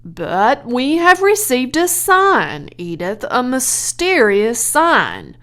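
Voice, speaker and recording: Woman as a halting, solemn farmer man. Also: high-pitched, wildly swooping pitch, a bit bright, voice pushed up, full character voice. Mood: awed. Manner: halting, stammering, grave. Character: awestruck, earnest older farmer man, solemnly shaken. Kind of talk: children's storybook character voice.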